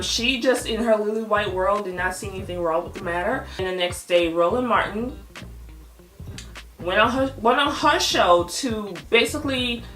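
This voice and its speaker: A woman hosting a gossip vlog, giving casual, opinionated commentary in a blunt, animated storytelling manner with frequent swearing. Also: medium pitch. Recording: echoey room with music underneath.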